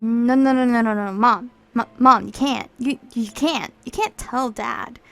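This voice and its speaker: A woman voicing a panicked teenage boy who pleads in a frantic, stammering way, louder than her own talk. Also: a little high, unhurried, creaky.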